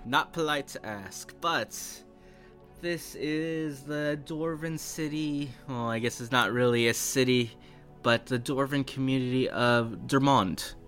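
A man's voice: medium pitch, slow, fairly bright tone, soft background music, voice pushed up. A man voicing a cheery, evasive old dwarf artificer with a folksy, hesitant way of muttering asides. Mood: breezy, evasive.

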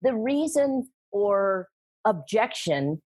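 A middle-aged woman talking about sales and speaking in an interview, animated and emphatic, laughing often. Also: mid-range voice, slow pace, breathy edge. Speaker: guest, sales trainer and speaker. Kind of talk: conversational interview.

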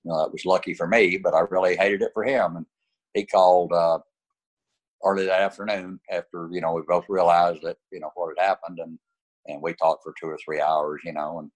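A man's voice: low-pitched, steady tone, brisk, low-quality recording. An older man, a racing champion guest, answering interview questions in a folksy way, dropping "you know" often. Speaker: guest racer.

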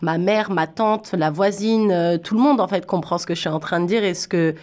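A woman's voice: on the low side, brisk, a little mellow. A woman hosting a conversational radio interview, chatty and inclined to explain her thoughts as she goes. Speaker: radio host and music interviewer.

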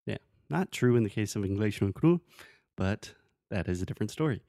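A man co-hosting a podcast, teaching English phrases in a relaxed, teasing way, with patient examples. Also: lowish voice, fairly quick, gravelly, dry recording, studio quality. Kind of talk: casual teaching chat.

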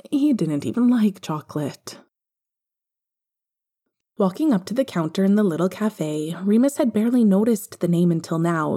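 A woman reads scripted fiction aloud as a podfic narrator. Her voice sits fairly low and has a dark, mellow tone. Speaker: podfic reader.

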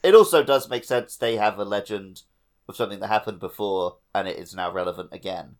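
A man's voice: on the low side, a little fast. Wry man chatting casually. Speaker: podcast co-host. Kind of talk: casual chat about a video game.

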